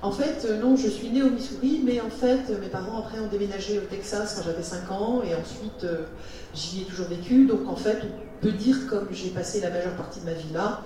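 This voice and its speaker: A woman's voice: medium pitch, brisk, mellow, slight background noise. A woman interpreting live between English and French, hesitant with many fillers. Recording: echoey hall, far from the mic.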